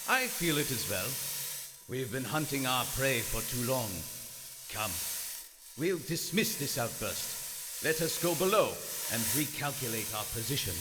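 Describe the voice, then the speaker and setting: Give the part of a proud, stern wartime German submarine captain to a male stage actor, formal and commanding, with a highly varied pitch. It is live radio theater, ringing through a big hall under clear hiss. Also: lively intonation, close to an everyday voice. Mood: composed, stern. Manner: formal, commanding, measured diction.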